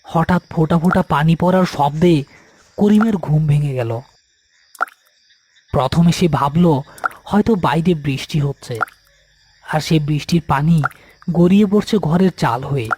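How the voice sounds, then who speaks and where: A man's voice: a little high, moderate pace, pauses often, a little mellow, slightly rough. A man reading a scripted horror story as its narrator.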